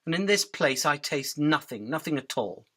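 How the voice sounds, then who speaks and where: Actor doing a chatty little fly, mid-pitched n bright, pedantic, kind of philosophical and resigned, radio play. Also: animated, normal speed. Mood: matter-of-fact. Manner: wry, pedantic, philosophical rambling. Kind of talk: comic radio drama acting.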